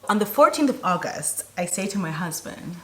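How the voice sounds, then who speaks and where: A woman from Barbados telling a personal story to camera as a vlogger, in an animated, chatty and emphatic way. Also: lowish voice, moderate pace, rough recording.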